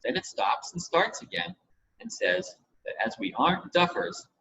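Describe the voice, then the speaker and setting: Man voicing an earnest young girl, animated pitch. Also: moderate pace, gravelly, slight background noise, heavily acted, poor audio. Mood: earnest, explaining. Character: earnest, confident young girl explaining things.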